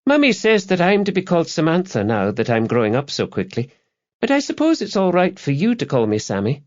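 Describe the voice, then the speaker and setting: A man's voice: a little high, huge pitch swings, brisk, muffled recording, voice pushed up. A man voicing a precocious eleven-year-old girl in an audiobook. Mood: bright, precocious. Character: eleven-year-old girl, precocious, acting older than her years.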